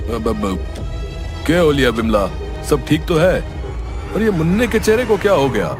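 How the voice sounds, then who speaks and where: A man's voice: on the high side, animated, normal speed, music in the background, natural voice. Man voicing a henpecked, plaintive village constable. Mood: concerned. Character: rustic, henpecked village constable, whiny and cowardly. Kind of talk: cartoon voice acting.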